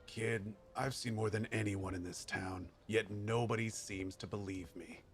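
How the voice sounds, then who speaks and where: An actor voicing a mocking, gravelly ageing male scavenger in a fantasy game. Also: lowish voice, steady tone, measured pace. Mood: scornful. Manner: gruff, sardonic, mocking. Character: crazy-seeming ageing male scavenger, scornful former legend. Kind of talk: fantasy video game acting.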